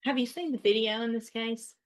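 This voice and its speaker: A woman's voice: on the high side, steady tone, a little fast. A woman presiding as judge at a hearing, speaking informally and correcting herself as she goes. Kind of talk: courtroom hearing.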